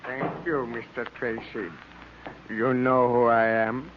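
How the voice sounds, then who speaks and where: A slow, deliberate cult emissary, acted by a man in an old radio drama. His phrasing is stilted, formal and insistent, and the recording is tinny and narrow. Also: mid-range voice, steady tone, background noise, lightly acted. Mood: polite, insistent. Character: formal, insistent male agent of an Egyptian cult. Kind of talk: 1940s radio acting.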